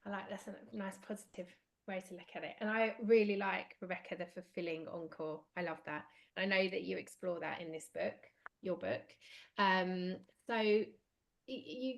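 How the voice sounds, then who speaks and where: A woman hosting an online panel, asking long, encouraging questions. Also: lowish voice. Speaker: panel host.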